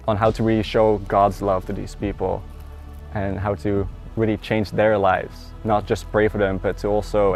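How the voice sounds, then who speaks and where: A man's voice: lowish voice, darkish tone. A teenage boy from the Netherlands with a Dutch accent, earnestly telling his personal faith story in a conversational way. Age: teens. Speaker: student. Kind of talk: personal testimony.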